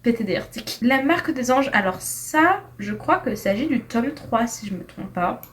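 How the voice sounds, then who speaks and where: A woman's voice: fairly high pitch, moderate pace. A young woman giving a casual, chatty review of books, tripping over her words and dropping slang as she ranks them. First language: French. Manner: chatty, animated, with slang and frequent restarts. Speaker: book reviewer. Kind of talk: casual tier-list book review.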